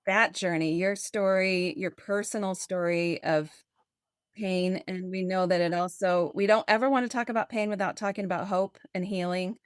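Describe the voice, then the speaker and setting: A woman's voice: lowish voice, steady tone, moderate pace, clear, resonant tone, no room sound, studio quality. Woman hosting a conversational podcast. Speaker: podcast host. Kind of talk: conversational interview.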